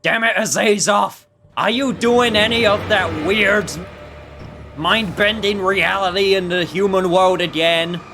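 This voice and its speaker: A man giving casual, rambling, jokey live commentary while he plays a video game, with animated outbursts. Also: high-pitched, measured pace, soft background music. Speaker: streamer playing a video game.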